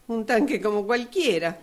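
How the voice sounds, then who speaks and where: A woman's voice: a little high, darkish tone, heavily acted. An Argentine woman acting in a radio drama as a cocksure, insistent navigator in a car. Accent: Argentine, Rioplatense.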